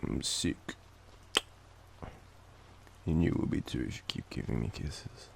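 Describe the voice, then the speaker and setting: A young Australian man with a cold, coughing now and then, murmuring softly and teasingly in an intimate boyfriend roleplay. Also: rumbling low, animated, slow, vocal fry, slight background noise. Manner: soft, drowsy, teasing murmur.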